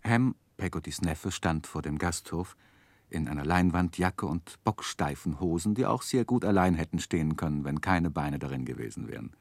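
Deep-voiced male radio play narrator. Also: expressive, moderate pace, dry recording. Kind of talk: scripted literary narration in a 1960s German radio play.

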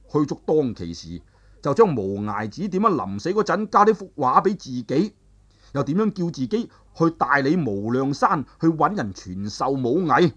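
A male storyteller narrating a traditional tale with animated, drawn-out phrasing and sentence-final particles. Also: a little high, breathy edge, highs cut off.

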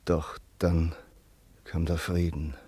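Deep-voiced man narrating solemnly. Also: flat delivery, warm tone, slightly rough, dry recording. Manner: measured, solemn. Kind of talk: scripted narration.

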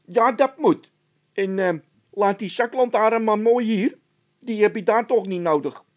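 Man doing high, loud, stubborn frightened workman. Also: measured pace, dull audio. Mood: bossy. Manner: insistent, complaining.

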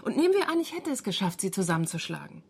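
A woman's voice: medium pitch, sing-song, fast, gravelly, a little mellow, breathy edge, exaggerated voice. A woman voicing the testimony of a wronged wife as a documentary voice-over. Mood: indignant recounting. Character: wronged wife of an abducted man, recounting events. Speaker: voice-over translator. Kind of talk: German voice-over of interview testimony.

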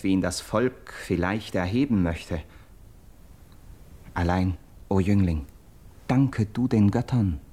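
An actor playing a steadfast, hopeful young friend, speaking classical verse drama in an earnest, elevated manner. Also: male voice, low voice, darkish tone. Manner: earnest, elevated, measured declamation. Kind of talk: classical German verse drama, stage declamation.

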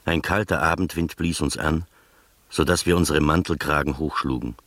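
Radio drama narrator: earnest, slightly anxious gentleman, low-pitched, articulate, dry sound. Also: steady tone, normal speed, no echo. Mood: measured storytelling. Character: earnest, anxious male friend narrating a detective tale.

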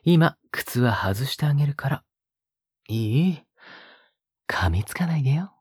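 A male actor playing a possessive young captor in an audio drama, coaxing and teasing in a soft, breathy voice as if talking to a pet. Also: medium pitch, lively intonation, unhurried, dry recording, clean studio sound. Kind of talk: intimate Japanese audio drama acting.

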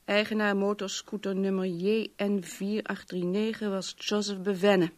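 A woman's voice: mid-range voice, level tone, unhurried, no room sound, lightly acted. An actress playing a detective's efficient female assistant in a radio play, clipped and matter-of-fact. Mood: brisk, factual. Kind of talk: Dutch radio play acting.

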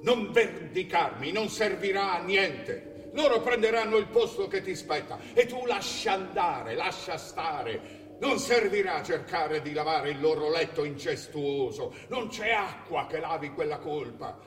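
Slow, solemn ghostly king in echoing hall. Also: a little high, lively intonation, a bit bright. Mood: solemn, imploring. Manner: slow, emphatic, declamatory. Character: ghost of a dead king, solemn, imploring father. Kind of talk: theatrical stage acting. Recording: distant echoey hall, faint noise.

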